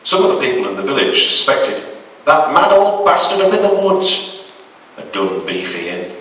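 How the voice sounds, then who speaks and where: A man's voice: a little high, sing-song, moderate pace, a bit bright. A man giving a dramatic reading of a macabre short story as a storyteller. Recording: very echoey hall, distant microphone.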